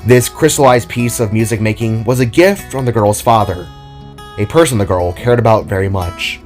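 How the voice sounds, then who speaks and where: A man narrating a scripted audio drama story in a deliberate, expressive storytelling manner. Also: fairly low pitch. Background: solo acoustic guitar music.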